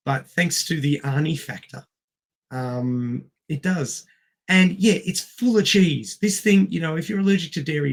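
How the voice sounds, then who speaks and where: Man, slightly high pitch, gravelly fry, strained voice, casual movie podcast host, halting delivery. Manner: halting, thinking aloud. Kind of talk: casual movie review chat.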